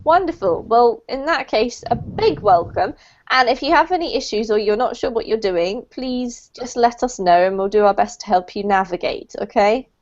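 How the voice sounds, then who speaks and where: A woman teaching an online English class, greeting new students in a bright, encouraging way over a video call. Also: on the high side, expressive, normal speed. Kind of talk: friendly online class talk. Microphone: computer mic on a video call. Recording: dull lo-fi call audio.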